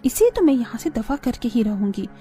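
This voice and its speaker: A woman's voice: on the high side, very animated, a little fast. A woman voicing a jealous, haughty rich restaurant owner in a moral cartoon, with a scolding, sneering manner. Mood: bitter scheming. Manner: scolding, sneering, sharp-tongued. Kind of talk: Hindi moral cartoon voice acting.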